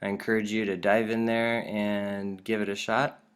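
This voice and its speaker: A man's voice: on the low side, level tone, moderate pace, clear, resonant tone. An American man speaking as a teacher, giving an informal instructional presentation in an encouraging manner.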